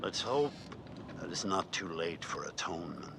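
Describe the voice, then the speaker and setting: An actor playing a calm, ominous older male psychiatrist in a video game, speaking slowly and in a hush. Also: low-pitched, creaky, noisy background. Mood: foreboding. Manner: slow, hushed, foreboding.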